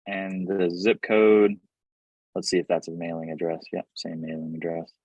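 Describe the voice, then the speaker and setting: This upbeat man hosts a real estate workshop over a video call, cheering on his viewers. His voice sits fairly low and sounds dry and close, with no room echo. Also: monotone, moderate pace, a little mellow, muffled recording. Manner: upbeat, hyping up the audience. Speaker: real estate investing host.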